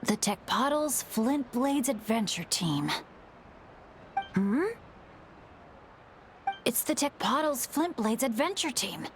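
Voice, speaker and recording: A woman's voice: a little high, slight background noise. A woman voicing a spirited, frank young female adventurer in an anime-style video game.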